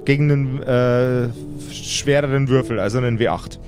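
A man's voice: mid-range voice, normal speed, music in the background. A man narrating an improvised tabletop game as game master, searching for words and correcting himself as he describes things.

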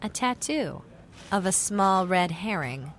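A woman's voice: medium pitch, animated, slow pace. A woman playing a clever, confident young girl detective in an old-time radio mystery. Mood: triumphant.

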